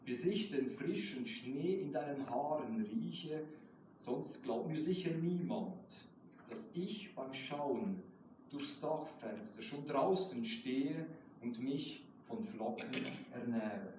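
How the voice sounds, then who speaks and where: A male poet from Switzerland whose first language is German addresses a live reading. His voice sits at an average pitch and reaches us from a distance. The room is strongly echoing, with clear noise behind him. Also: expressive, slow pace, darkish tone. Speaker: guest poet. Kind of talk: poetry reading with casual asides. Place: indoor live poetry event. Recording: roomy echoing hall, distant mic, noisy.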